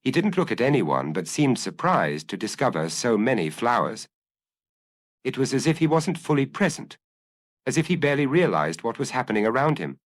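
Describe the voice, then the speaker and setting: Man, audiobook narrator, lowish voice, animated melodic range, dry close sound, measured literary storytelling. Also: dry recording. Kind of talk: scripted detective story narration.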